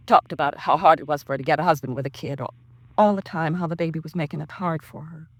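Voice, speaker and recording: Bitter, gravelly-voiced sister. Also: female voice, deep voice, fairly even intonation, fast talker, dry recording. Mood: resentful. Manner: terse, clipped working-class colloquial talk. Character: bitter, resentful woman blaming her neglectful sister. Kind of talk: 1950s radio crime drama acting.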